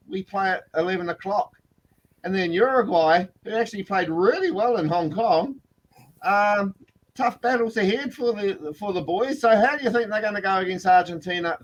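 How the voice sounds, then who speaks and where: A man's voice: high-pitched, low-quality recording. A man, co-hosting a casual podcast and asking questions in an upbeat way. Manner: upbeat, asks questions with fillers. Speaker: podcast co-host.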